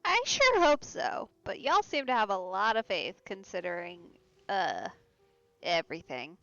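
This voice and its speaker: A woman live streamer giving casual, relaxed and wry commentary over a game. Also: mid-range voice, unhurried, dull audio, soft background music.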